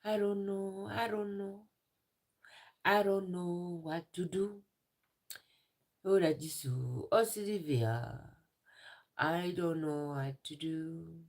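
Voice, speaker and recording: A young woman on a live stream, tearful and emotional, talking in halting phrases and repeating herself. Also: lowish voice, steady tone, darkish tone. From Uganda. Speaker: livestreamer.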